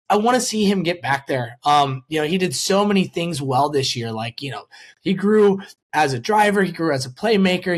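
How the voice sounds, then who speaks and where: A man hosting a sports podcast in a casual, rambling way, repeating words and correcting himself as he goes. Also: on the high side.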